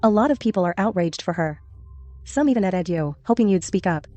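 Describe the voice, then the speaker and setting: An actress playing a chatty, considerate young university student in a dubbed drama. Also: female voice, lowish voice, animated, a little fast, dark tone, no room sound, close to an everyday voice. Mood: casual, curious. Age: young adult. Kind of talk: dubbed drama acting, casual chat.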